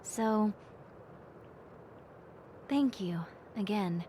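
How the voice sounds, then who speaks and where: A woman voicing a gentle, cheerful young bat girl in an intimate audio roleplay, speaking earnestly and reflectively to the listener. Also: mid-range voice, lively intonation, a little hiss or hum. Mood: grateful, warm. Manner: soft-hearted, earnest, reflective, unhurried.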